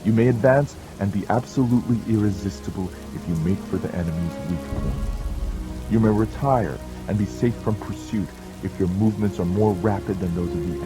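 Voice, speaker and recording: A man reading a dramatized audiobook as narrator, in a solemn, measured and declamatory manner. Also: fairly low pitch. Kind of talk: dramatized scripted narration of an ancient treatise.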